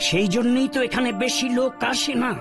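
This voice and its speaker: A man playing a humble, wary bungalow caretaker in a cartoon, deferential and ominous, with a creaky voice. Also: extremely high pitch, fairly even intonation, brisk, over music. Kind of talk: dramatized cartoon dialogue.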